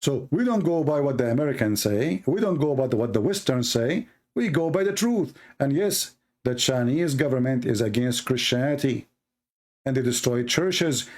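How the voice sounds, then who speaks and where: A man's voice: on the high side, vocal fry, darkish tone. A man with a somewhat raised voice hosts a live call-in debate and argues hard. He mocks his opponents with heavy sarcasm. His English is fluent, with non-native grammar. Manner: combative, mocking, sarcastic. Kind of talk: heated live-stream argument.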